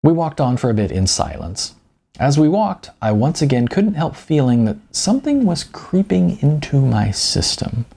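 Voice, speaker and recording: A man with a gravelly voice, an author narrating his own audiobook in an informal way. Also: on the high side, sing-song, normal speed, a little mellow.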